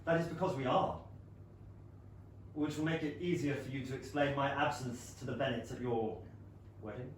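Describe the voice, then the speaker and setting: A man acting a proud, wounded period gentleman in a staged reading, speaking formally and eloquently of hidden love. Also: medium pitch, fairly even intonation, lightly acted. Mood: bitter, wounded. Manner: formal, measured, eloquent theatrical delivery. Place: small London theatre stage, filmed. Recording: echoey theatre, distant mic, noisy room tone.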